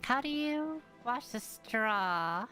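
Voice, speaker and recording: A woman's voice: on the high side, lively intonation, slow, bright, forceful tone, soft background music. A woman casually chatting as she streams a game, with short hesitant reactions. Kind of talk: casual live stream chat.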